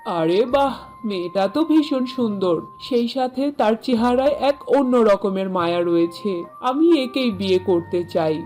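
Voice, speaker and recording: A woman's voice: a little high, lively intonation, unhurried, darkish tone, faint music behind. A woman playing a smitten young fairy-tale prince, admiring and decisive.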